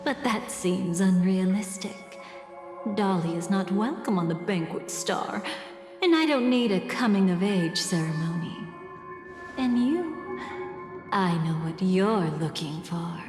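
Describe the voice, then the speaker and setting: An elegant, slow-speaking, teasing schemer. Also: female voice, medium pitch, animated. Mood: mysterious, inviting. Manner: theatrical, teasing, silky and deliberate. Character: elegant, mysterious woman, capricious, playfully menacing memory thief. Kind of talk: video game character dialogue. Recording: long reverb, music behind.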